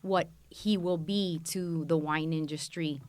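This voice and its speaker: A woman's voice: deep voice, fairly even intonation, takes it slowly, a little mellow. A middle-aged woman from Colombia answering conversationally as a guest in a studio podcast interview. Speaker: podcast guest, wine shop and restaurant owner.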